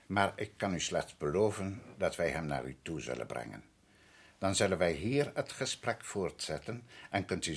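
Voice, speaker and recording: A haughty high priest in a radio drama. His voice is low and husky, and he speaks in formal, measured phrases, stubborn beneath cool courtesy. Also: heavily acted. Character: proud, formal native high priest, stubborn and coolly polite. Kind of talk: radio play acting.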